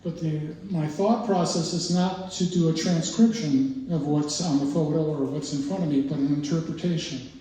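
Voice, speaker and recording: A man's voice: fairly high pitch, fairly even intonation, warm tone. Older man talking in an echoey gallery. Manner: halting, many uhs and restarts. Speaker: exhibiting artist. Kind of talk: informal gallery talk. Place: art gallery, indoors. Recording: very echoey room, voice far from mic, faint noise.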